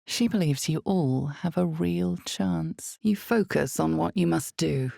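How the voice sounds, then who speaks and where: A woman playing the ominous, omniscient narrator of a dark fantasy video game, speaking in a measured, theatrical way with weighted pauses and a husky, gravelly voice. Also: sits low, dry recording, studio quality, exaggerated voice. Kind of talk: dramatic second-person scripted narration.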